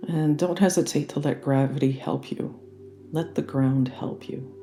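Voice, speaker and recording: Mellow, even-toned meditation guide with soft music. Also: mid-range voice. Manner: gentle, soothing, unhurried instruction. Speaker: yoga nidra meditation guide.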